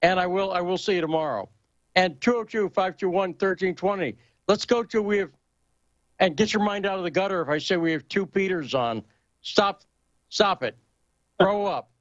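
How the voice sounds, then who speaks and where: A man hosting a call-in talk radio show, his voice sitting fairly high for a man. He talks in a loose, rambling way and throws in joking asides to callers. The sound is dry and a little muffled. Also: normal speed, dry recording. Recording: muffled, lo-fi radio broadcast audio.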